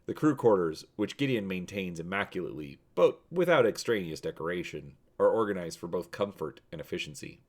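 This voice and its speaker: A man's voice: sits low, lively intonation, brisk, few pauses. A man hosting and narrating a dramatic reading of an adventure storybook. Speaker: host and narrator.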